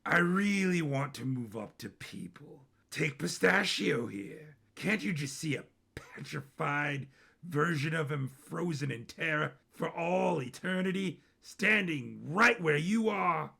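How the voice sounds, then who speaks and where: A man voicing an older king and father in an audio story, cold and menacing, speaking in a measured, regal way. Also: a little high, lively intonation, gravelly, sharp tone, slightly rough, heavily acted. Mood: gloating.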